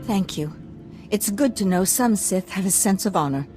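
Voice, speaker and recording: A woman's voice: medium pitch, expressive, moderate pace, a little mellow, soft background music, lightly acted, rough recording. An actress playing a refined, proud but anxious noblewoman in a video game, speaking formally and earnestly. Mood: composed, earnest. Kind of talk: video game character acting.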